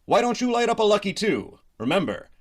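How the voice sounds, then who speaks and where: A man's voice: sits high, very animated, brisk, heavy background noise. Emphatic male radio announcer. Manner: hearty, emphatic. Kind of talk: 1950s radio commercial reading.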